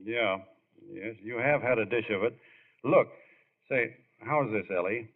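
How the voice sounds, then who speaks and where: An actor playing a plain-spoken, affectionate ballplayer husband with a halting, understated manner, in 1940s radio style. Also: male voice, fairly low pitch. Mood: tentative, tender. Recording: muffled old radio recording.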